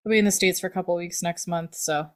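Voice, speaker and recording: A woman's voice: on the low side, steady tone, fast. A woman co-hosting a podcast in casual conversation. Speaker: podcast co-host. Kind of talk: casual chat.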